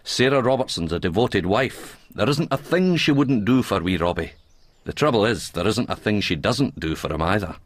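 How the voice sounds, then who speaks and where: Deep-voiced wry old Scottish doctor. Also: male voice, lively intonation, moderate pace. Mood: wry, knowing. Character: wry older Scottish country doctor narrating. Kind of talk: radio drama narration and dialogue.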